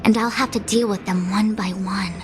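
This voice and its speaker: A woman voicing a composed young diviner official, speaking in a measured, formal and slightly exasperated way, with game music behind. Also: medium pitch, steady tone, close to an everyday voice. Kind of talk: game cutscene voice acting.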